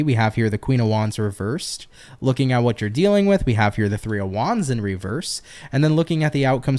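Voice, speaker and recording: A man's voice: medium pitch, darkish tone. Tired, chatty, swearing male tarot reader. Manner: chatty, profane, laughs often, answers viewer comments. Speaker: tarot reader hosting a livestream. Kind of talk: casual livestream tarot reading.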